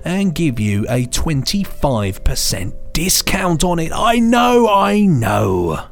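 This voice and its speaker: A man's voice: fairly high pitch, big pitch swings, takes it slowly, vocal fry. Jaunty British male podcast co-host over music. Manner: jaunty, whimsical turns of phrase. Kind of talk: chatty podcast presenting.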